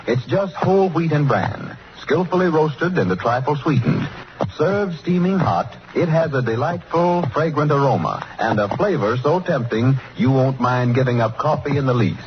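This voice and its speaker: A man's voice: fairly high pitch, expressive, moderate pace, noisy background. A male radio announcer delivering scripted 1930s commercial copy and sign-offs. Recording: dull 1930s radio recording, music behind.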